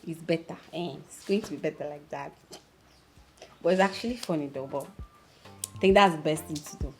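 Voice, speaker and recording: An actress plays a young Nigerian woman, the nosy and teasing best friend in a film drama. Her voice is a little low and theatrical, with music playing underneath. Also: a little fast, a little mellow, close to an everyday voice. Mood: advising. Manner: theatrical, teasing, interjects with local words. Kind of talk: naturalistic film drama dialogue.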